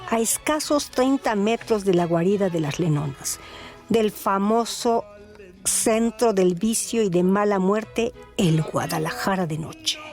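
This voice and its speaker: A woman's voice: medium pitch, a little mellow. Mexican woman narrating radio drama over music.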